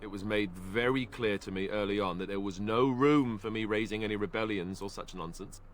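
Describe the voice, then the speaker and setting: A wry, awkward young warrior, played by a man, low-voiced and halting, stumbling over his words when things get heavy. He keeps deflecting with dry humor, with a faint hiss underneath. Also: lightly acted. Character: young male warrior, awkward and self-deprecating.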